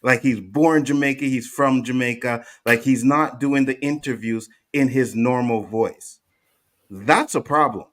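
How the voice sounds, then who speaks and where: A man's voice: medium pitch, unhurried, a bit bright. A man hosting a casual panel chat, hesitant and searching as he talks, with many fillers.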